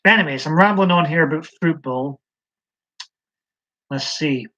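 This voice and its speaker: A man's voice: on the high side, measured pace, sharp tone. A man from Scotland hosting a live stream, chatting in a rambling, hesitant way with many ums. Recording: slightly dull lo-fi stream audio.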